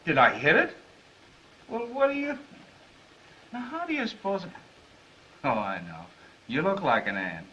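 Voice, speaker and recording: An American actor playing a cheerful, fast-talking young newspaper reporter who bluffs with glib, eager patter in a 1930s film. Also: male voice, high-pitched, wildly swooping pitch, pushed and bright, heavily acted. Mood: cheerful, confident. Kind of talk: 1930s film comedy-drama acting. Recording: muffled, band-limited 1930s film soundtrack.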